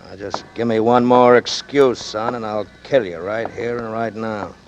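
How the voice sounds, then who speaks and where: A man's voice: on the low side, fairly even intonation, normal speed, slight background noise, close to an everyday voice. An actor playing a cool gangster who holds a man at gunpoint, drawling mockingly friendly threats in a 1940s radio drama. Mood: menacing. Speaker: gunman. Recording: dull lo-fi old radio broadcast.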